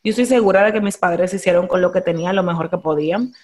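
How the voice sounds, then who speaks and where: A young Dominican woman with a Dominican Spanish accent, hosting a podcast in animated casual chat, slipping into English phrases. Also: on the low side, quick pace. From Dominican Republic. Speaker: podcast host.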